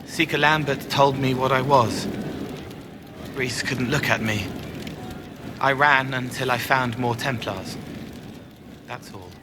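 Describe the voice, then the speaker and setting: An actor playing a haunted, gentle young male spirit in a fantasy video game, speaking in halting, poetic fragments. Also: mid-range voice, natural voice. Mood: sad, wistful. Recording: game audio with noisy ambience behind.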